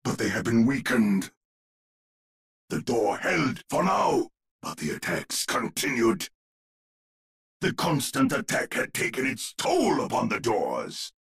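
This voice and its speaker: A grave old storyteller narrates a gothic video game tale. His voice is very husky and crackles with gravel. He takes his time over each phrase, savouring it with ominous, theatrical weight. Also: mid-range voice, wildly swooping pitch, takes it slowly, fairly bright tone, full character voice. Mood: urgent, dramatic.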